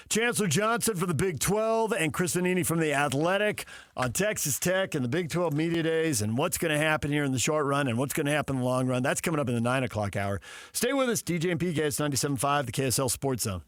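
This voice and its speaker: An American man hosts a sports talk radio show in a somewhat high voice. He announces segments and banters with colleagues. The sound is dry and close. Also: expressive, fast talker, a bit bright, no echo.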